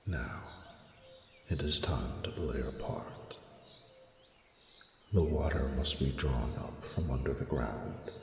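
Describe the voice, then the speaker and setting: A man voicing an ancient, proud but tender dragon who mentors his beloved in slow, formal, commanding speech in a fantasy audio drama. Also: exaggerated voice. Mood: patient, guiding. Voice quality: deep gravelly, often breathy. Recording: echoey cavernous reverb with ambient noise.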